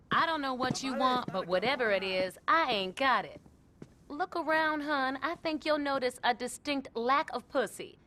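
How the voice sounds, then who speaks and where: High, sharp-tongued madam. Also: female voice. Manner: brassy, threatening, slangy. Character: tough, foul-mouthed, sharp-tongued female brothel madam. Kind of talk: video game cutscene acting.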